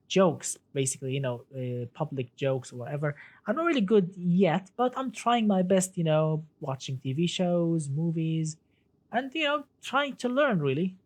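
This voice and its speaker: A man's voice: fairly high pitch, a little mellow. A man whose first language is Arabic, chatting casually on a live stream about cars and reading out viewer comments, with many restarts and a friendly "my friend.".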